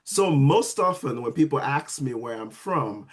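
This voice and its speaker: A man, a nurse guesting on a podcast, telling his story in a lively way with frequent restarts. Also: medium pitch, a little slow. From Sierra Leone. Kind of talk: casual storytelling interview.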